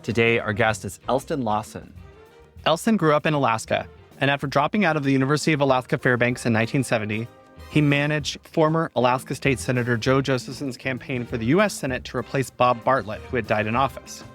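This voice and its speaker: A man hosting a podcast, reading a scripted introduction and asking interview questions in a measured way. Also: mid-range voice, fairly bright tone, faint music behind. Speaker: podcast host.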